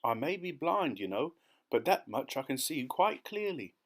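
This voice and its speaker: A man's voice: mid-range voice, big pitch swings, moderate pace, pitched above the performer's own voice. Man playing a gloomy, doom-laden earthworm. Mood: despairing, indignant. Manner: gloomy, complaining. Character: gloomy, pessimistic male earthworm who dramatizes doom.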